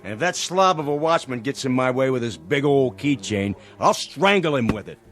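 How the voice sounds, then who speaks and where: Boozy, bitter working man, swinging pitch. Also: very animated, normal speed, fairly bright tone, soft background music. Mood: defiant, belligerent. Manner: boozy, rambling, belligerent. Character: drunk, bitter, defiant working man feeling obsolete. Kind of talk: radio drama acting.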